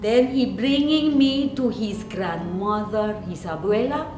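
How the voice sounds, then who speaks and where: A woman voicing a living statue of a young Venezuelan saint, halting and earnest, recounting plaintively in simple broken English for a storybook. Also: medium pitch, distant mic, natural voice. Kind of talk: dramatic storybook reading. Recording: roomy echo, faint music behind.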